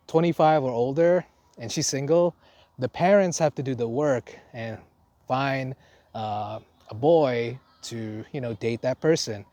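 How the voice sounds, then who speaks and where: A man's voice: medium pitch, darkish tone, no room sound. A young man from Indonesia with an Indonesian accent, telling his vlog audience about his life abroad in a loose, rambling way full of restarts and fillers. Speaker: vlogger, foreign teacher. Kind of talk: unscripted casual vlog talk.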